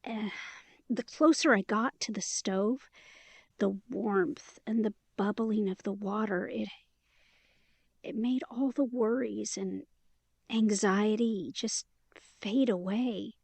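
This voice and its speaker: A woman's voice: medium pitch, slow pace, gravelly, no echo. An actress playing a chatty, eager, sweetly stubborn young woman in a romantic fantasy audio drama, speaking in a conversational, emphatic way. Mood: dreamy, hesitant.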